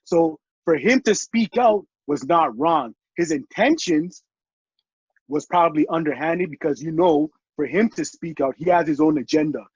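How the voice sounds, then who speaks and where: This is a man with a fairly high, husky voice who talks in opinionated, halting bursts. His audio is dull and lo-fi, with a very dry sound. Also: very animated, darkish tone, no room sound. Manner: opinionated, halting with many restarts. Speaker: podcast guest. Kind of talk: casual chat.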